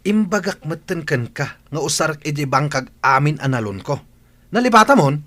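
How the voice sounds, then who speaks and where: A man's voice: fairly high pitch, sing-song, normal speed, bright, forceful tone. A man with an Ilocano accent playing an irritable, stubborn farmer husband and father in a radio drama. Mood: angry. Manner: irritable, snappish, dismissive.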